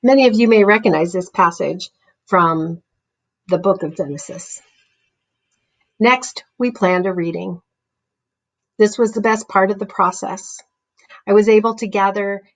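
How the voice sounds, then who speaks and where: A woman's voice: medium pitch, low-quality recording. A young woman, a student playwright, giving a scripted presentation about a project. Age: young adult.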